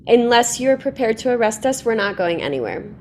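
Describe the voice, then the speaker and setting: A woman's voice: a little high, very animated, a little fast, a little hiss or hum. An actress playing a firm, unflappable woman attorney, cool and assertive, in a staged play reading. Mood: cool, defiant.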